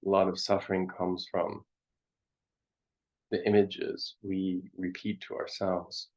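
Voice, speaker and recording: A man guides a meditation in a low voice, very slowly and with hardly any melody. He is contemplative, offering short halting phrases with long pauses between them. The recording is dull and lo-fi. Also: monotone, a little mellow. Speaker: meditation teacher.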